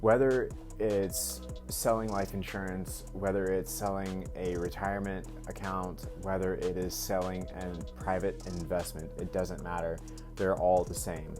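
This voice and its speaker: A man in his thirties talks casually to his viewers, his voice sitting a bit low. He rambles, circling back with fillers and repeated words. The room rings with echo, and soft music plays faintly underneath. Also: flat delivery, unhurried. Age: 30s. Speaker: vlogger. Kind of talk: casual talk to camera. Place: indoors at home work space.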